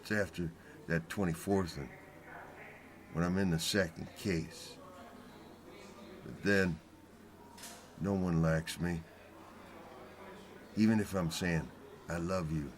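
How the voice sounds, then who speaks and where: A man giving personal testimony in short, halting, plain-spoken vernacular phrases. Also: sits low, steady tone. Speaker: former prisoner giving testimony.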